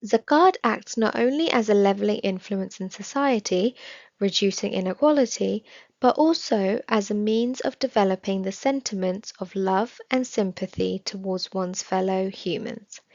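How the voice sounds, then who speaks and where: A woman's voice: mid-range voice, normal speed, darkish tone, no echo. Woman reading formally, slightly dull dry sound. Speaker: reader of a religious study text. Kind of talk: scripted narration, reading aloud.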